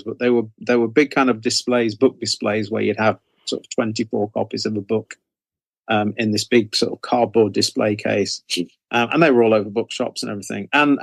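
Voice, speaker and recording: A man's voice: medium pitch, dry recording. Male, 60s, British, interview guest telling career stories conversationally, circling back on phrases, dry recording. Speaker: interview guest, horror author.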